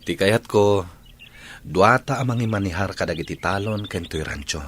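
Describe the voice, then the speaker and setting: A man's voice: sits low, normal speed, fairly bright tone. A man with an Ilocano accent playing a devoted young rancher's son in a radio drama. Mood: tender, earnest.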